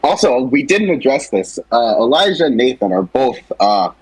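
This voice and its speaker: A man's voice: medium pitch, big pitch swings, moderate pace, husky, no echo, low-quality recording. A man chatting casually as a co-host, talking through the characters of a series. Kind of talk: casual chat.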